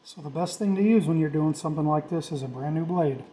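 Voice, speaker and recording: Male DIY vlogger, middle-aged, slightly high pitch, very mellow tone, casual and self-deprecating tutorial talk. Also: fast talker. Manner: informal, self-deprecating, thinking aloud. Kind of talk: casual hands-on tutorial narration.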